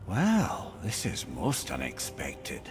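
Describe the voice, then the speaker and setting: An actor voicing a wry, worldly-wise storyteller companion in a video game, with a Scottish accent and an eloquent, sardonic manner. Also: male voice, low-pitched, wildly swooping pitch, slow, a little hiss or hum, heavily acted. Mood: pondering. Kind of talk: dramatic video game acting.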